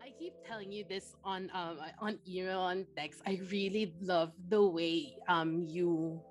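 A woman's voice: on the low side, fairly even intonation, unhurried, soft background music. A young woman from the Philippines hosting a podcast interview, chatty and full of fillers and restarts. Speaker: podcast host.

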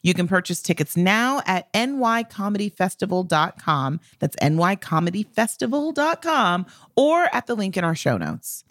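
A woman's voice: mid-range voice, big pitch swings, moderate pace, few pauses, a bit bright, dry recording, studio quality. A woman co-hosting a podcast in a casual, slangy, playfully emphatic chat style. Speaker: podcast co-host and comedy writer.

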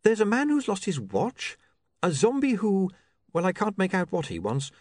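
A man's voice: high-pitched, wildly swooping pitch, normal speed, darkish tone, dry recording, studio quality, voice pushed up. A man voicing a nervous, prim young woman in an office, polite and a little hesitant. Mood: brisk, hesitant.

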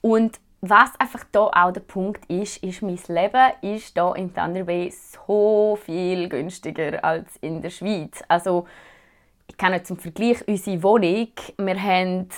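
A woman's voice: lowish voice, unhurried. A young woman in her twenties from Switzerland with a Swiss German accent, chatting casually to viewers as she answers their questions. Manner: chatty, relaxed, many fillers. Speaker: vlogger.

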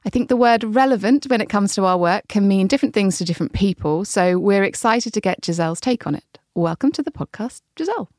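A woman's voice: medium pitch, animated, fast talker, few pauses, no echo, clean studio sound. A woman co-hosting a podcast, reading an effusive introduction. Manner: warm, effusive, reads intro. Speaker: podcast co-host. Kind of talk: scripted introduction and chat.

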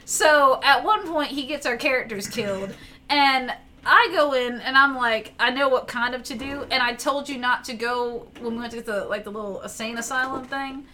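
Bright high-voiced Southern woman chatting. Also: moderate pace, a little hiss or hum. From American South. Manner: animated, laughing storyteller. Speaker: guest. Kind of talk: casual chat.

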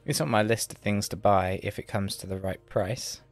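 Guy rambling about crypto charts, lowish voice, faint music behind. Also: moderate pace. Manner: loose, rambling, many fillers and restarts. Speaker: co-host, market analyst. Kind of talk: casual market analysis on a livestream.

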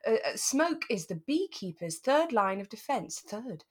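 A British woman co-hosting a relaxed chat podcast, giggly and self-mocking as she tells things in an animated way. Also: a little high, measured pace, slightly rough. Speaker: podcast co-host. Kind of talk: relaxed chat about a radio drama.

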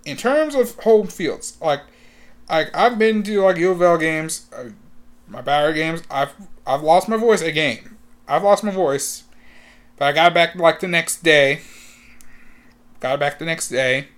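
A young man talking casually as a sports fan, rambling, repeating himself and using lots of fillers, and getting loud and excited at the big moments. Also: fairly high pitch, unhurried, pauses often. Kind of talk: casual talk to camera.

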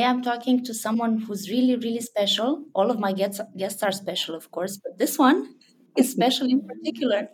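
A woman hosting a podcast, introducing her guest warmly and asking questions in a friendly, effusive way. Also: medium pitch, a little hiss or hum, rough recording. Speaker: podcast host. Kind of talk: interview hosting.

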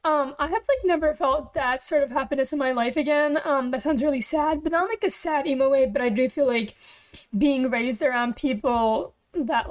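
A young woman from Pakistan hosting her own podcast, chatting alone in a rambling, candid way with lots of "like" and "um". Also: high-pitched. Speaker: podcast host. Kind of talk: casual solo chat. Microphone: own microphone.

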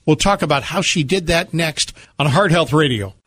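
A man with a slightly high voice works as a radio co-host. He talks conversationally and tells stories with a dry, teasing sense of humor. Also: animated, moderate pace, a bit bright, dry recording. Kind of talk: conversational radio talk with storytelling. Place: radio studio.

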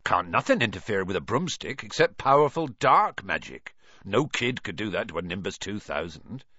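A big, gruff, gentle man, as a male narrator voices him, mumbling deep and quiet. The recording is slightly muffled and dry. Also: big pitch swings, normal speed, no room sound, heavily acted. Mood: shaken. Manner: low mumbling speech.

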